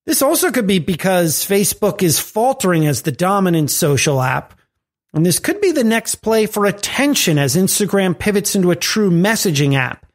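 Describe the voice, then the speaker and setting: A man hosting a tech and marketing podcast, reading a scripted segment with lively rising and falling emphasis. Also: high-pitched, normal speed. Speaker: podcast host.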